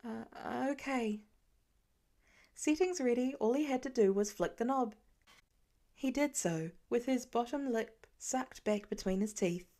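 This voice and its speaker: A woman reading scripted fiction as an audiobook narrator, in an expressive and careful manner. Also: on the high side, moderate pace.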